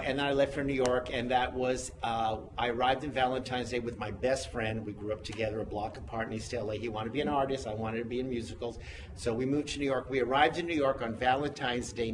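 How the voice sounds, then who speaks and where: A man in his sixties from the United States, a guest chatting in an interview who tells stories in an animated, self-mocking way. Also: mid-range voice. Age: 60s. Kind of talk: casual interview chat. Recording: noisy room sound.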